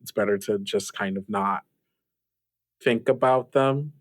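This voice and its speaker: A man playing a polite, cautious, duty-bound character in improvised roleplay, hesitant and measured as he speaks. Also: lowish voice, no echo, lightly acted. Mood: cautious, uneasy. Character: polite, cautious host, resigned to duty, wary of temptation. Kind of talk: improvised actual-play roleplay dialogue.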